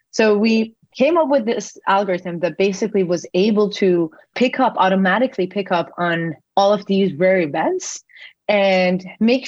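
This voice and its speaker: A woman's voice: lowish voice, dry recording. A young adult woman who grew up in Iran, a company CEO answering interview questions in a loose, explanatory way, with many fillers and self-corrections. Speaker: podcast guest, company CEO. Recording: dull lo-fi sound.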